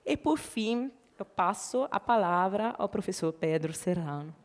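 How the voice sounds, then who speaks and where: A woman with an Italian accent moderates a panel and talks haltingly, stopping to restart her words. Her voice sits at a middling pitch. Behind her, a long hall reverb trails each phrase. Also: animated. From Italy. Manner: halting, with filler sounds and restarts. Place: seminar hall.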